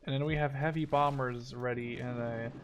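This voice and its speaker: A man's voice: medium pitch, fairly even intonation, moderate pace, slight background noise. A man in his twenties giving casual gameplay commentary, thinking aloud informally as he plays. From Netherlands. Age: 20s. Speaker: gaming video host.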